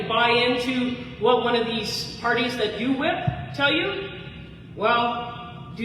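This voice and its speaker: A woman's voice: a little high, fairly bright tone, slight background noise. A woman making an emphatic, pointed campaign speech as an independent candidate at a debate. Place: community hall. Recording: echoey hall, distant mic.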